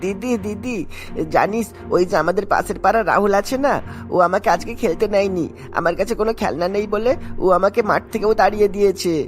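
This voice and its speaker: A woman's voice: mid-range voice, brisk, faint music behind, natural voice. A woman voicing a sulky young boy, a whiny little brother in a cartoon. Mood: upset, whiny. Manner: whiny, complaining. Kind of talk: cartoon dialogue acting.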